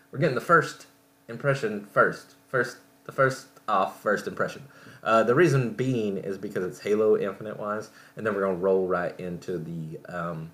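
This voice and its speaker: Low-voiced man, rambling casual podcast host. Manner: rambling, halting, self-correcting. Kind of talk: casual solo talk reviewing entertainment.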